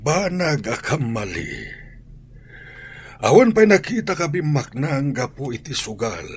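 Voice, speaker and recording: A man playing a grumbling man of the household in an Ilocano radio drama, with a husky, rough voice. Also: mid-range voice, sing-song, a little slow, full character voice. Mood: reproachful.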